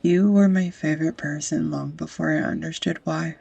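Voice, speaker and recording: A young woman acting a tender, easily flustered sweetheart in an intimate romantic audio roleplay, with a husky, breathy, hesitant voice. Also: low voice, steady tone, normal speed, dark tone, highs cut off, exaggerated voice. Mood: tender, wistful. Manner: hesitant, confessional, breaking into whispers.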